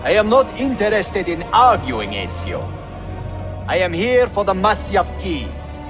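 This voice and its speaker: A calculating royal villain with a slightly high voice, measured and lofty. He stays quietly menacing while game music plays clearly behind him. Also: big pitch swings. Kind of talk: dramatic video game cutscene acting.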